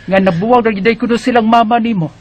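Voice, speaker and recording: A woman's voice: fairly high pitch, fairly even intonation, fast, a little mellow, muffled recording, natural voice. Woman voicing a gossipy old grandmother. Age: older. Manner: gossipy, disapproving.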